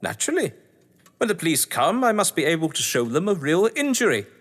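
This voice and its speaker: A man's voice: on the high side, very animated, a little fast. Man playing a florid, pompous older British schemer. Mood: suave, scheming. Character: theatrical, scheming upper-class male crime novelist and manor host. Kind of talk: stage-play audio drama acting. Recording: echoey hall reverb.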